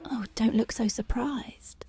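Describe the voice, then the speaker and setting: A woman playing a strict, dominant female police officer in an ASMR roleplay, slow, taunting and intimate, often slipping into whispers. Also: fairly high pitch, steady tone, vocal fry, dark tone, breathy edge, full character voice. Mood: teasing, coaxing.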